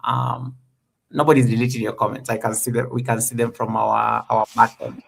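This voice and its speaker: A man in his thirties hosting a casual live interview, relaxed and encouraging, often circling back mid-sentence. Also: mid-range voice, moderate pace, creaky. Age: 30s. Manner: relaxed, encouraging, talks in looping restarts. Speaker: host.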